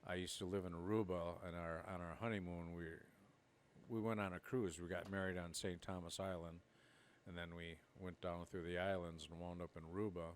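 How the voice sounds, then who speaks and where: A man's voice: sits low, level tone, brisk, a little hiss or hum. A middle-aged man from Minnesota, a podcast co-host telling rambling stories with frequent laughter. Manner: rambling storyteller, laughs often. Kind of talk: casual chat.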